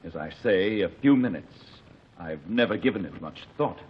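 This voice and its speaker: A man's voice: low voice, expressive, normal speed, slightly rough. An actor playing a man on trial who answers questions hesitantly and vaguely, in 1940s radio acting. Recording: dull old radio broadcast, faint hiss.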